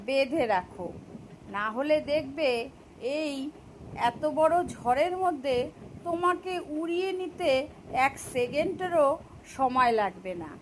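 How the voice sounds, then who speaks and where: A woman voicing a sly, clever, persuasive fox in an animated children's story, with emphatic drawn-out delivery. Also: sits high, a little hiss or hum, rough recording. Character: sly, clever, smooth-talking fox outwitting a tiger.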